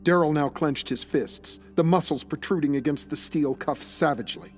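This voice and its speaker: A man's voice: on the high side, moderate pace, soft background music. A man narrating a scripted dramatic story as its narrator.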